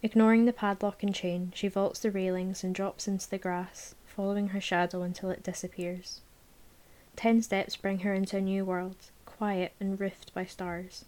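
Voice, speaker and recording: Female narrator, measured audiobook reading, mid-pitch, hushed moments. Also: little pitch movement, poor audio. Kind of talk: audiobook narration, present tense.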